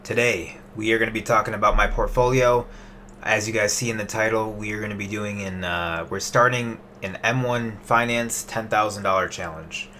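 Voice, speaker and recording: A man's voice: on the low side, steady tone, normal speed, bright, forceful tone, slight background noise. A man in his late twenties giving a loose, rambling investing update to his webcam, with plenty of fillers and restarts. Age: 20s. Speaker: investing vlogger.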